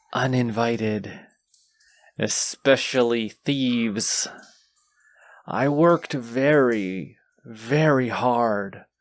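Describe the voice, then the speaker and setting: A haughty wounded dragon, acted by a man, from a fantasy audio roleplay, guarding its hoard with pompous menace. The delivery is extremely slow and deliberate, lingering on threats at a medium male pitch. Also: dry recording.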